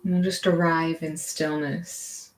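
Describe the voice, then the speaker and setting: A woman teaching gentle movement and meditation in a reassuring, permissive, unhurried manner. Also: low voice, flat delivery.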